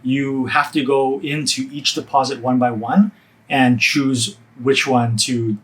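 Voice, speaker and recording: A man's voice: medium pitch, fairly even intonation, slow, a bit bright, rough recording. Casual American man explaining things. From Hawaii, USA. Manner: informal, conversational. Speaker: vlogger sharing personal money tips.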